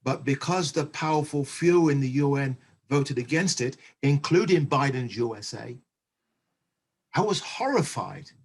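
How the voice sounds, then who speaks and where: A man on a discussion panel, speaking in an emphatic, measured, oratorical way. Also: medium pitch, expressive, breathy edge.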